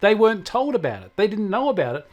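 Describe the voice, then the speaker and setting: A man's voice: high-pitched, sing-song, quick pace, lo-fi sound. An Australian man talking conversationally as a technology commentator, explaining things emphatically and building his points through repetition. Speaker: technology commentator on a regular show.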